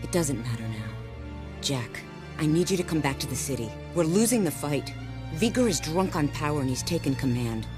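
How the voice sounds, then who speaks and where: A woman's voice: low voice, expressive, moderate pace, over music. A woman playing an earnest, determined resistance fighter in a dramatic video game scene. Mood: urgent.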